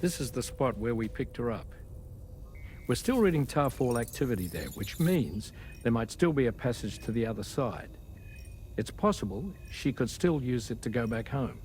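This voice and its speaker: An actor playing a calm male scientist who explains things in a measured, matter-of-fact way in a game cutscene. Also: mid-range voice, slight background noise, natural voice. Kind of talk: video game cutscene acting.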